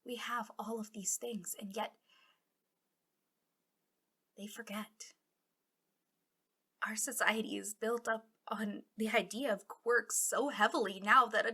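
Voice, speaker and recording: Girl acting as a bitter villain lady in asmr roleplay, slow n husky, slips into whispers, kinda intense. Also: a little high, pushed and bright, heavily acted. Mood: impassioned, then sad, earnest. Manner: intimate, dramatic, drifting into whispers. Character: disillusioned female villain guarding a captive, bitter and impassioned.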